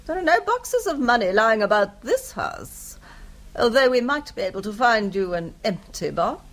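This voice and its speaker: A woman's voice: on the high side, big pitch swings, moderate pace, fairly bright tone. A woman acting a haughty, menacing wealthy lady in a radio drama, cool, formal and condescending.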